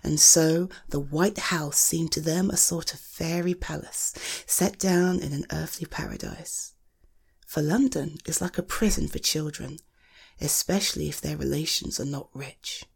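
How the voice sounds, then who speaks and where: A woman with a soft, breathy voice speaking intimately and soothingly to one listener, as if at bedtime with a storybook. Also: deep voice, measured pace. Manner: soothing, affectionate, coaxing. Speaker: audio host reading a bedtime story to the listener. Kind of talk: intimate personal bedtime roleplay and storybook reading.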